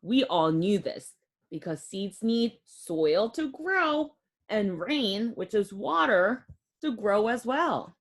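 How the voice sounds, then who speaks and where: Slow, very expressive woman reading to children. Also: medium pitch. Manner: expressive, child-directed, with audible gasps and exclamations. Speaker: read-aloud teacher for young children. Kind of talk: picture-book read-aloud with simple explanatory asides.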